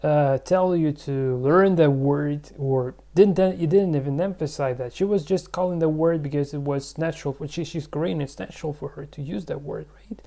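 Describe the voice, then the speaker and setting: Male vlog host, gravelly mellow voice, casual rambling delivery full of fillers. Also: on the high side, normal speed, hardly any pauses. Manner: rambling, thinking aloud with many fillers. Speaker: channel host.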